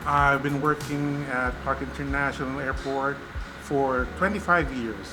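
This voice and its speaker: A man's voice: mid-range voice, fairly even intonation, unhurried, over music, poor audio. A middle-aged man, an airport staff member, giving polite and good-humoured answers as a tour guest. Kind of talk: friendly interview answers.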